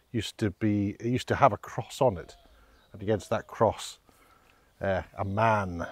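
A man's voice: fairly low pitch, slightly rough, no echo. A middle-aged man giving casual, self-deprecating commentary to a handheld camera as he walks outdoors. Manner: self-deprecating, wry, hesitant asides. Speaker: vlogger, walking guide. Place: outdoors on village streets and country lanes. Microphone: handheld camera at arm's length.

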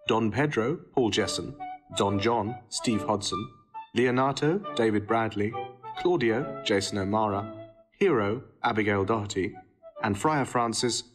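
A man reading formal scripted credits as an announcer. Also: lowish voice. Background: violin music.

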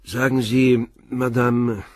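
An actor playing a calm, persistent male police inspector who questions tersely and methodically in a radio crime drama. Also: medium pitch, takes it slowly, dry recording, lightly acted. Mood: measured, inquisitive.

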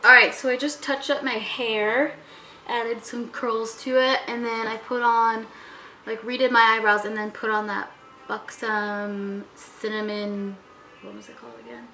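A woman's voice: a little high, little pitch movement, a little slow, pushed and bright, faint music behind, rough recording. A young woman in her twenties chatting casually to a handheld camera, upbeat and filling her talk with "like". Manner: chatty, upbeat, says "like" a lot. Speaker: vlogger. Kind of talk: casual vlog chat to camera. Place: home, car and gym.

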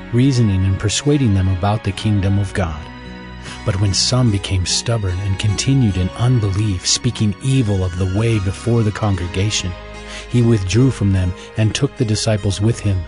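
A man giving measured, formal scripted narration for a dramatized Bible. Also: lowish voice. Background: music.

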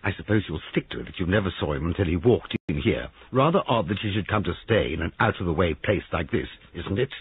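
A man's voice: sits low, lively intonation, fast, few pauses, slightly rough, no room sound, exaggerated voice. A man voicing a jealous, angry husband in an audiobook story, curt, domineering and accusing. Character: Giles, jealous angry husband, gruff and commanding. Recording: narrow-band lo-fi radio-like sound.

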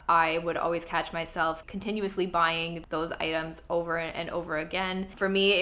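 A woman's voice: lowish voice, bright, forceful tone. A young woman talking to camera at home in an earnest, chatty way, a little congested from a cold. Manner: earnest, chatty, lots of fillers. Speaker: style vlogger. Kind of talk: casual talk to camera. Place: home room with clothing rack.

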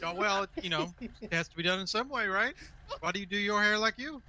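A fast-talking man with a high, sharp voice playing a sheriff's deputy at a traffic stop. He keeps it polite and businesslike over a headset mic. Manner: polite, businesslike officer talk. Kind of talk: in-character traffic stop roleplay.

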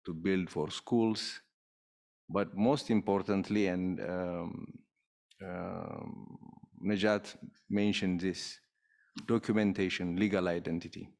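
Man, very low voice, formal panel remarks, measured and deliberate, official explaining step by step. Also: a little mellow.

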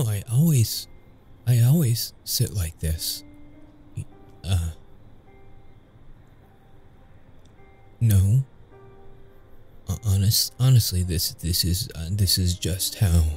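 Man playing a slow, deep-voiced wounded young vampire. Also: animated, a little mellow, faint music behind. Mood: nervous, pleading. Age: young adult. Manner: halting, hesitant, stammering when flustered. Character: young wounded male vampire, secretive, wary and brooding. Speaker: audio roleplay performer. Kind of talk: intimate ASMR audio roleplay acting.

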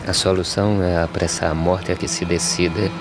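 A Brazilian actor playing a gaunt, despairing drought migrant in an animated verse drama, solemnly declaiming poetic questions. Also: male voice, deep voice, brisk. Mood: despairing, grave. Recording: outdoor ambience noise behind the voice.

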